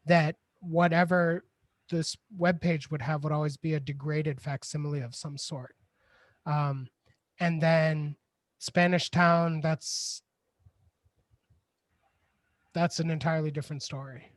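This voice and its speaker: Higher-voiced male co-host on a video call, casual and filler-heavy, dry close sound. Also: steady tone, unhurried, no echo. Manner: loose, filler-heavy "like", "you know", "right?". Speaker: librarian and co-host. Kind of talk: casual live-stream discussion.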